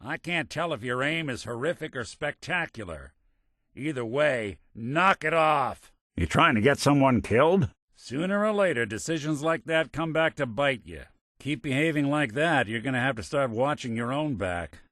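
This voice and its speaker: A man's voice: mid-range voice, lively intonation, normal speed, fairly bright tone, no room sound, natural voice. A man voicing a wry, world-weary old synthetic detective in a video game, with a hard-boiled American drawl and dry sarcasm. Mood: annoyed, scolding. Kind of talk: video game character acting, noir detective.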